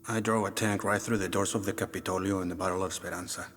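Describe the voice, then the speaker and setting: Deep-voiced old revolutionary. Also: male voice, fairly even intonation, quick pace, a bit bright, a little hiss or hum. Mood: proud defiance. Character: proud, defiant old revolutionary veteran, male. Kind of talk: cinematic video game acting. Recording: long reverb, music behind.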